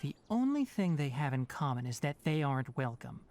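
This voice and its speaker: A woman playing a brisk, stern but caring young male forest watcher in a video game, precise and no-nonsense. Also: deep voice, sing-song, dark tone, a little hiss or hum. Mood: resolute.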